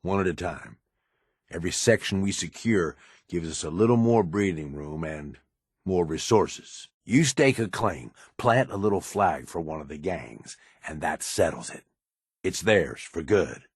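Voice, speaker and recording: A man voicing a cynical, ruthless raider henchman in a video game, with a rough husky voice and a folksy, slangy, sardonic drawl. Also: low voice, animated, a little slow, no echo, clean studio sound, full character voice. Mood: confident, conspiratorial. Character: gruff cynical ruthless male raider henchman in a post-apocalyptic wasteland.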